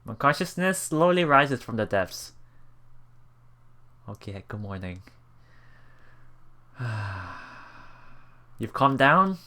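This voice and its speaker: A let's player reading a visual novel aloud in a halting way, with emotionally invested asides. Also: mid-range voice, sing-song, pushed and bright. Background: game music.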